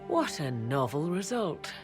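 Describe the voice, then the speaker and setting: An actress playing a wry, cool ally in a fantasy video game, with dry, deliberate delivery. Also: female voice, low-pitched, very animated. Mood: wry, sardonic. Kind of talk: dramatic video game dialogue. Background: music.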